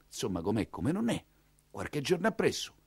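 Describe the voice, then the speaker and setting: Ninetto, animated earthy Roman coachman. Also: mid-range voice, normal speed, gravelly, husky, dry recording, studio quality, exaggerated voice. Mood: wry storytelling. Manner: colloquial, expressive, grumbling. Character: Ninetto, earthy, wry nineteenth-century Roman coachman telling his story. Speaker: stage actor, narrator. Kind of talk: theatrical monologue storytelling.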